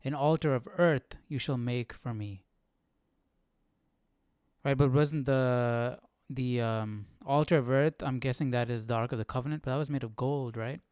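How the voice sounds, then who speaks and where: Clear, dry-voiced man puzzling aloud. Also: mid-range voice, normal speed, darkish tone, no room sound. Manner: questioning, puzzled, informal. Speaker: Bible study livestream host. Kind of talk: casual thinking aloud, reading scripture.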